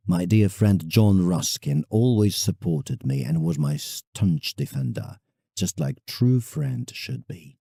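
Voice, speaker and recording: A man's voice: low-pitched, gravelly, warm tone, breathy edge, no room sound, clean studio sound, full character voice. An actor playing a proud, wounded, sentimental male painter, reading his letter in a theatrical, confiding way for an audio drama.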